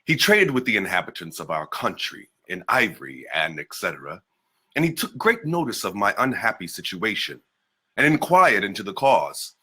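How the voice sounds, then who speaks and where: A man's voice: lowish voice, big pitch swings, normal speed, bright, forceful tone, husky, exaggerated voice. A man reading as an earnest African prince telling his life. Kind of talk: dramatic reading of a historical narrative.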